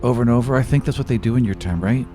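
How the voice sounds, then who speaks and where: A man playing a hesitant, gentle young god visiting from the future in a tabletop roleplay, speaking in halting, apologetic phrases. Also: mid-range voice, close to an everyday voice. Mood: grave, explanatory. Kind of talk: improvised in-character tabletop roleplay. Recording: music playing under the voice.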